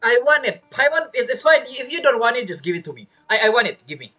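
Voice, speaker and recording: A man's voice: very high voice, sing-song, fast talker, bright, forceful tone. A young man doing casual, joking playthrough commentary, reacting aloud to a video game. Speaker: gaming streamer.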